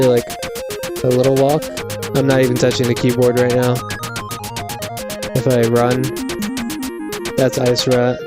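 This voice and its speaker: Slow, flat-toned, laid-back streamer, sex unclear. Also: medium pitch. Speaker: video game live streamer. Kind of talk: casual live stream chat and commentary.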